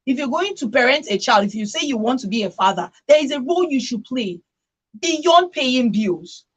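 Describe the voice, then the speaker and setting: A woman's voice: high-pitched, animated, moderate pace, husky. A woman hosting a radio discussion, speaking emphatically and repeating phrases to drive her points home. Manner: emphatic, repeats phrases for stress, checks with "right?". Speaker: radio talk show host.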